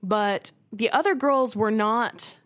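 A woman's voice: on the high side, slow pace, a bit bright. A woman co-hosting a casual podcast, giving frank opinions on a reality show with frequent fillers. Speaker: podcast co-host. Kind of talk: casual recap chat.

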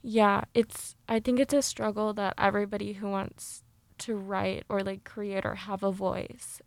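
A woman's voice: mid-range voice, little pitch movement, measured pace, vocal fry, no echo. A young woman, a student producer, interviewing in a chatty, self-deprecating way. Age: young adult. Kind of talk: casual interview.

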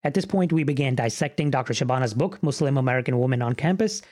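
A man hosting a podcast, introducing a guest and narrating in a measured scripted way. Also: medium pitch, a little mellow, slight background noise. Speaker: podcast host.

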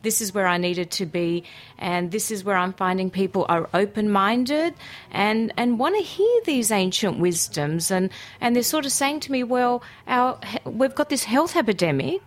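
A woman's voice: medium pitch, animated, normal speed. A woman with an Australian accent whose first language is Greek, telling her story enthusiastically as a guest in a casual interview. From Greece and Australia. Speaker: radio interview guest, cookery teacher and food writer.

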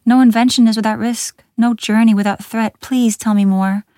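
A woman's voice: mid-range voice, moderate pace, warm tone, no echo, studio quality, natural voice. A woman voicing a curious, earnest young female inventor in a storybook, eager and formal in her speech. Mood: pleading.

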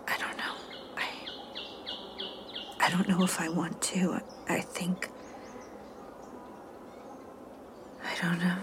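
Woman acting a low-voiced, lonely stranded astronaut. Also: completely flat delivery, natural voice. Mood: whispering, torn. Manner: halting, intimate, drifting into whispers. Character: frightened, lonely female astronaut stranded on an alien world. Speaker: mission specialist in an audio drama. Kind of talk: modern audio drama acting, recorded log monologue.

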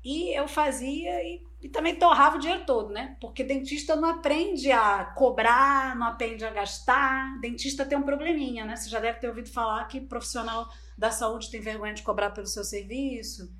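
A woman's voice: on the high side, normal speed, few pauses, a bit bright, slight background noise. A middle-aged woman born in Brazil, a native Spanish speaker, chatting as a guest in an interview and telling her story at length. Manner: chatty storyteller, often adds "né". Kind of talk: casual interview chat.

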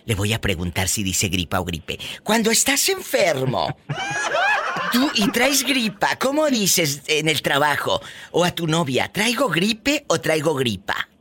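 A man with a Mexican accent hosting a call-in radio show, teasing callers and laughing loudly. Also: a little high, huge pitch swings, unhurried, breathy edge. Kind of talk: playful radio call-in banter.

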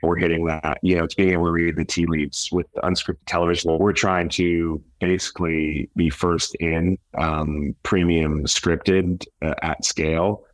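A man's voice: low voice, little pitch movement, unhurried, hardly any pauses, no room sound, poor audio. A man speaking in an interview as a company founder and chief executive. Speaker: media company founder and CEO, interview guest. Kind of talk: interview talk.

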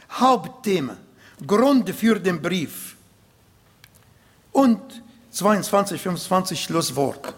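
A man preaching a sermon in German with an Arabic accent, non-native grammar and emphatic, slowly built phrases. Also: high voice, slightly rough. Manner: emphatic, slow, swells louder on key points.

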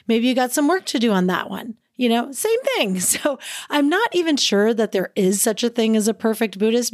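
A woman hosting her own podcast, talking alone in an upbeat, chatty way, with a slightly raspy voice and frequent laughs. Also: mid-range voice, dry recording, studio quality. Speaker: podcast host. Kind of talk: solo podcast monologue.

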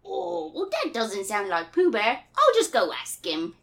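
A small, unworried British boy, put on by a woman, very high and lilting. The accent leans cockney and the manner is polite and childlike, with big swings in pitch. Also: wildly swooping pitch, unhurried, vocal fry, a bit bright, exaggerated voice. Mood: reassuring. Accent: British, cockney-leaning.

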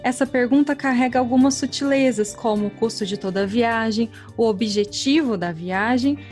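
Female podcast co-host, fairly high voice, rural São Paulo Brazilian accent, faint music underneath. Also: normal speed, darkish tone. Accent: rural interior São Paulo Brazilian. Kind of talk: podcast introduction and interviewing.

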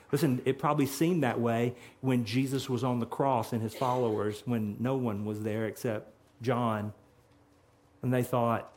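A man's voice: medium pitch, a little mellow. A middle-aged man preaching a sermon in a church, earnest, with frequent restarts and repeated phrases. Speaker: pastor. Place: church sanctuary.

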